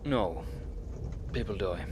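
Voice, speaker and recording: An actor playing a guarded Afghan immigrant cab driver in a radio drama, speaking hesitant, terse English with an Afghan accent. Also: male voice, sits low, lively intonation, slow pace, noisy background. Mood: quiet, matter-of-fact. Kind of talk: naturalistic radio drama acting.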